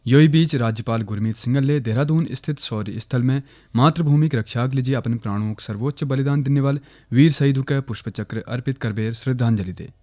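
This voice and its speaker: A man with a Kumaoni accent reading a regional radio news bulletin in a steady, formal way. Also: mid-range voice, no room sound, studio quality. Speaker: newsreader. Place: radio studio.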